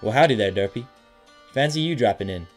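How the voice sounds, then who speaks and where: A man's voice: mid-range voice, animated, a little fast, faint music behind, lightly acted. Guy doing a easygoing lady farm pony, twang, audio drama cartoon character. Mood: wry. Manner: folksy, reassuring. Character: folksy female farm pony, easygoing and practical.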